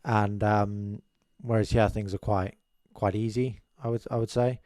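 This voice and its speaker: A young man from South Africa, speaking as a guest in a small studio. He talks in a modest, rambling way with many restarts. His voice sits low and close, with a dry, dead sound at a desk mic. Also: little pitch movement, measured pace, a little mellow, no room sound. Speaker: podcast guest. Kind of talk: casual interview chat. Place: small studio room with curtains. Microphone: desk mic on boom arm, headphones.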